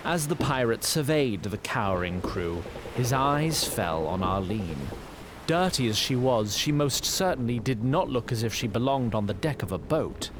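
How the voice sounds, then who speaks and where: An actor playing a fussy wood sprite who narrates an audio fantasy drama in formal, wordy storybook style. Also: male voice, mid-range voice, expressive, normal speed, noisy background, close to an everyday voice. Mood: dramatic. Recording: loud ship ambience behind.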